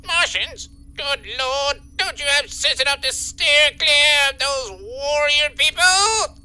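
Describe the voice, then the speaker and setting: A nasty gloating sci-fi boss, super bright n shrill, crystal clear, theatrical scolding. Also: lively intonation, unhurried. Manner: scolding, mock-sorrowful, theatrical. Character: petty gloating greedy general manager boss. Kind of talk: comic sci-fi audio serial acting.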